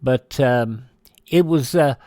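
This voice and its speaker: An older American man hosting a radio show, chatting casually through dates and birthdays with rambling, folksy asides and hesitant pauses. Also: medium pitch, warm tone, dry recording, studio quality. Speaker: radio host.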